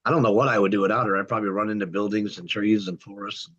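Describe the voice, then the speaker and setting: A man's voice: lowish voice, fairly even intonation, a bit bright. A man joking casually with the host before a live session. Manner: joking, quick. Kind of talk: casual chat. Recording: muffled remote line.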